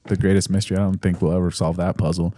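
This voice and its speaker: A man in his thirties with a very low, mellow voice co-hosts a casual podcast. He reads listener comments aloud in a relaxed, hesitant way, with fillers. The sound is dry and close. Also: rapid-fire, no echo. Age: 30s. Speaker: podcast co-host.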